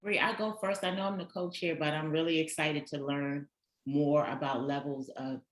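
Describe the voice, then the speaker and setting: A woman's voice: deep voice, fairly even intonation, moderate pace, roomy sound. A woman co-chairing and moderating an online learning session, speaking hesitantly with many fillers. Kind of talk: hosting a virtual learning session.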